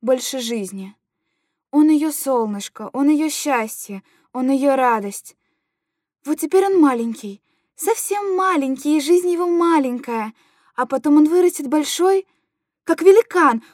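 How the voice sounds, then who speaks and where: A woman's voice: sits high, a little mellow, no echo, studio quality. A woman playing an anguished, tender mother who coos simple, repetitive stories to her little boy in a dramatic monologue. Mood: loving, hopeful. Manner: cooing, repetitive, childlike storytelling to a small child. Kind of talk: dramatic literary monologue reading.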